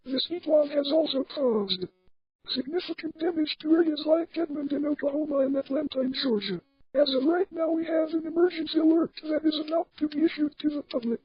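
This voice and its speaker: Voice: high voice, no room sound, lo-fi sound, close to an everyday voice. A performer voicing a TV news anchor who reports on a disaster in a scripted broadcast style. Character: TV news anchor reporting a deadly heat wave.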